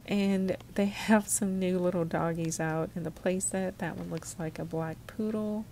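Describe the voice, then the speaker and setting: Female shopping vlogger, low register, casual descriptive delivery, faint background noise of a store. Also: steady tone, unhurried, creaky, warm tone. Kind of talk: casual shop-with-me narration.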